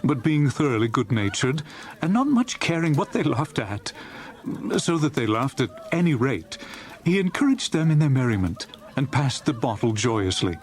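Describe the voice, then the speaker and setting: A man playing a genial storyteller of a Victorian Christmas tale, narrating with a jovial, relishing, expressive manner. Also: medium pitch, moderate pace, natural voice. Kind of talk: dramatized audiobook narration.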